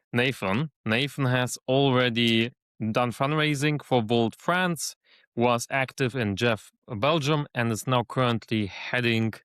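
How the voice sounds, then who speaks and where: A man hosting a podcast interview, measured and listening, with frequent fillers. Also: medium pitch, a bit bright, clear, resonant tone, dry recording, clean studio sound. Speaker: podcast host.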